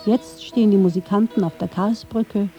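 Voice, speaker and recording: A woman reading scripted narration for a radio feature in a measured, literary way. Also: mid-range voice, steady tone, warm tone, soft background music, rough recording. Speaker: documentary narrator.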